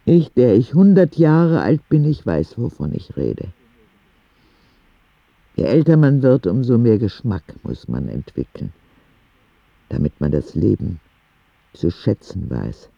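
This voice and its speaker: A man's voice: on the low side, vocal fry, husky, dry recording, dull audio, exaggerated voice. A man playing a dying ten-year-old boy in a radio drama, reading candid, wondering letters to God in an intimate, reflective way. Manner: slow, reflective, with expressive swings.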